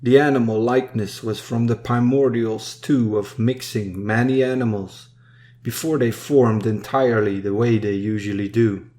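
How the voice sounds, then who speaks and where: A man reading a dark narrative poem in a solemn, measured storytelling manner. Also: medium pitch, steady tone. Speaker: poem reader. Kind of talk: solemn scripted poetry recitation.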